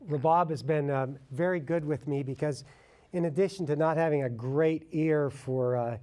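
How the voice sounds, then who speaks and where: A man's voice: a little high, moderate pace, a little mellow. A man facilitating a panel, speaking informally in an encouraging, conversational way. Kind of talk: informal moderating.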